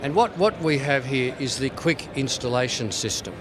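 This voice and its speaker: A man's voice: mid-range voice, lively intonation, normal speed, background noise. A man giving an informal, playful product demonstration as a company representative at a trade show. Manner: tongue-in-cheek, playful.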